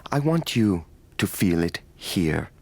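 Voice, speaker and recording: A man's voice: low voice, big pitch swings, slow pace, creaky, husky, no room sound, full character voice. A man playing a gushing, pretentious film director in a video game cutscene, effusive and theatrical. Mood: earnest, instructing. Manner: theatrical, effusive, touchy-feely.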